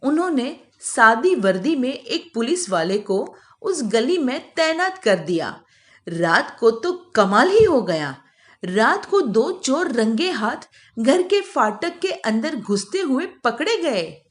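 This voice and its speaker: A woman storyteller with a notably high voice narrates a scripted moral tale for children. Her pace is unhurried and her intonation is very lively.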